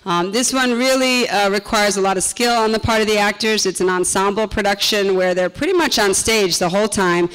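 A woman's voice: medium pitch, steady tone, fairly quick, hardly any pauses, a bit bright, clear, resonant tone, rough recording. A middle-aged woman, a drama teacher, speaking live to an audience in a school auditorium to introduce a play. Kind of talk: live welcome speech to an audience.

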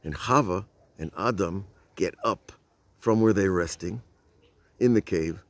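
An older man with a lowish voice gives an informal Torah lesson, filmed on a phone at arm's length outdoors at night. He tells the story conversationally and puts rhetorical questions to his listeners. The sound is dry and close, with little room echo. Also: a little slow. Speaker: rabbi teaching. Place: outdoors at night by old stone walls. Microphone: phone camera at arm's length.

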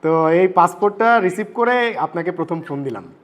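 A man's voice: high-pitched, lively intonation, moderate pace. A man with a Bangladeshi accent talking casually and earnestly as a vlogger and consultant, politely and with English words mixed in. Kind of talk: casual vlog talk and phone chat.

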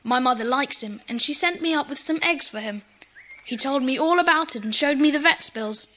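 A woman's voice: sits high, moderate pace, fairly bright tone, poor audio. A woman playing an earnest, kind-hearted schoolboy in a children's audio drama. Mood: worried. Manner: earnest, explanatory.

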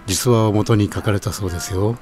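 Kindly, fatherly cook for radio drama, played by an actor: lowish voice, polite, gently explaining. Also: steady tone, fairly quick, faint music behind, natural voice. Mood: informative. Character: kindly, knowledgeable older man, cook called father. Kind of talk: radio sound-drama dialogue.

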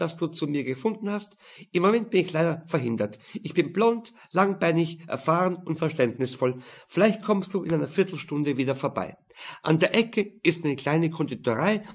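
Man putting on a high, coaxing Viennese hostess. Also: breathy edge. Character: sweetly inviting woman's recorded doorbell greeting.

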